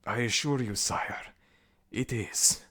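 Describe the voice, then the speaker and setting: A man's voice: medium pitch, slow, fairly bright tone, voice pushed up. A man voicing a deferential, anxious servant of a god in a scripted audio roleplay, speaking formally and earnestly. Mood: earnest, insistent.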